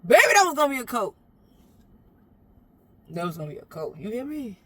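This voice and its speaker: A woman with a deep voice chatting through a makeup tutorial inside a parked SUV, playful and sassy, calling her viewers baby. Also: very animated, fairly quick, slight background noise. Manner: sassy, playful, talks straight to the viewer and calls them baby. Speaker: makeup vlogger.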